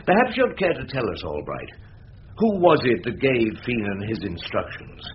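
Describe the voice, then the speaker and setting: An actor playing a sardonic older English barrister in a radio drama, with a dry, ironic, theatrical way of talking. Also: male voice, mid-range voice, very animated, moderate pace, muffled recording, a little hiss or hum, close to an everyday voice. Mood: combative. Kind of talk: radio drama acting and narration.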